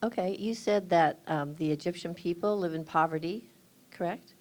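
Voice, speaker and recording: A woman with a somewhat low voice asks a speaker questions from the audience. She presses him gently, asking him to explain himself. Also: moderate pace.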